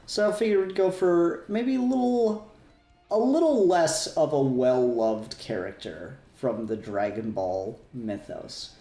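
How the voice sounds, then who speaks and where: A man's voice: mid-range voice, a little slow, warm tone, reverberant, faint music behind. A man hosting a casual fan discussion podcast, talking in a rambling way and often correcting himself. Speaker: podcast host.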